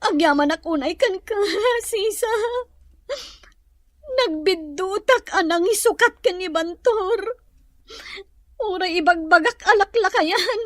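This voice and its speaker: A wronged young wife in a radio drama. Her voice is extremely high and dry, pleading and full of reproach. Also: slow, long pauses, a bit bright, dry recording, full character voice. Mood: pleading, tearful. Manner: plaintive, reproachful.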